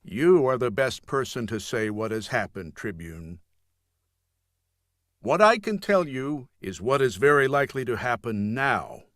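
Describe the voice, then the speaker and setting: A stern junior army officer, played by a man reading an audiobook, speaks with formal contempt. His pitch moves widely as he lectures and accuses. The sound is close and dry. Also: sing-song, a little slow, a bit bright, no echo. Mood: scornful, stern. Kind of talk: audiobook character dialogue.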